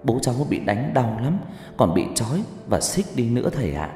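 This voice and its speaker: A man's voice: mid-range voice, sing-song, a little fast, dark tone, faint music behind, voice pushed up. A man voicing a worried older brother, a young man who is flustered and respectful, in a horror story. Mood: anxious, urgent. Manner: deferential, flustered. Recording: echoey hall reverb.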